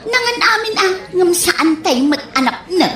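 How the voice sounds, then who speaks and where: Woman acting gossipy neighborhood mother, high animated voice, Ilocano accent, comedy radio drama, fault-finding. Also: moderate pace, full character voice. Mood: gossipy complaining. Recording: roomy echoey sound.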